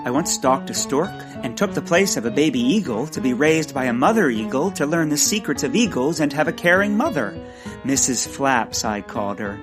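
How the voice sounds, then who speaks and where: An actor playing a clumsy, earnest, eccentric bird expert in a comic audio drama, halting and flustered. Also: male voice, mid-range voice, close to an everyday voice.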